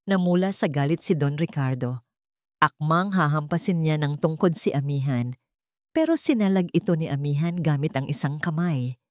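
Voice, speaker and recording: A woman narrates a dramatic story from a script. Her voice sits fairly low, and the recording is dry, with little room sound. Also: animated, normal speed, darkish tone, dry recording.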